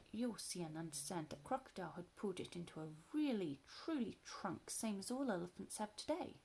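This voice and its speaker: A woman reading a children's story aloud in an expressive, playful storytelling manner. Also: mid-range voice, gravelly, breathy edge, poor audio.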